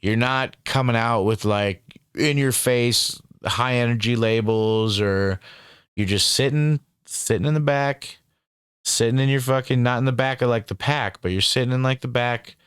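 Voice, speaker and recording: A man's voice: medium pitch, normal speed, a bit bright, clear, resonant tone, dry recording, studio quality. A man hosting a casual interview, rambling and swearing freely, with lots of fillers. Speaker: interviewer and channel host.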